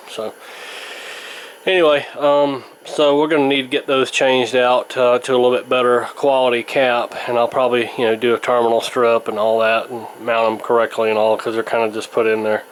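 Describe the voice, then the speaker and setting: A man with an average-pitched voice talks through a repair demonstration off the cuff. He explains things in a folksy, meandering, slightly hesitant way. There is clear ambient noise behind his voice. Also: flat delivery, moderate pace, poor audio. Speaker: host of a vintage radio repair channel. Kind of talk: casual unscripted repair demonstration.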